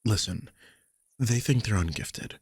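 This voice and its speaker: A man playing a grumpy, sardonic old vampire mentor in an intimate audio roleplay, husky and informal, prone to swearing. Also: lowish voice, a little slow, no echo, studio quality. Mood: defensive, sardonic.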